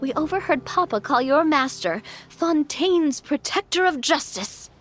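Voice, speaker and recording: A woman's voice: high voice, expressive, unhurried. A woman voicing a friendly young game character, a loyal friend with a casual manner. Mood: nostalgic. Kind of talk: video game voice acting. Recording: music behind the voice.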